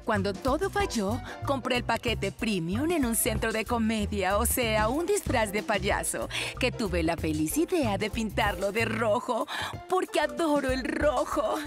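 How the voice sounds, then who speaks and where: A woman playing an eccentric, flustered middle-aged mother in a cartoon dub, theatrical and expressive. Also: on the high side, a little slow, over music. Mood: earnest, confessional. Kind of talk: animated children's cartoon dub.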